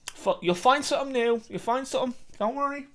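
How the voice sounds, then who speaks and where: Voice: high voice, fairly quick, fairly bright tone, voice pushed up. A storyteller voicing a brash, protective young Irish woman in a short story, blunt and insistent. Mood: reassuring. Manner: blunt, insistent, repeating herself.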